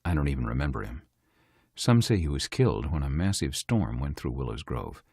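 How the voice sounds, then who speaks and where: A wistful, guarded older uncle, acted by a man in an amateur radio play. His voice is extremely deep and husky, with lively rises and falls. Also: brisk, dry recording, studio quality, full character voice. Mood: evasive, grave. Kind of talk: amateur audio drama acting.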